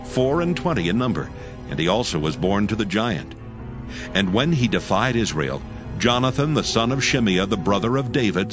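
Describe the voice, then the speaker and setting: Male scripture narrator, slightly low pitch, solemn measured storytelling, clear music bed.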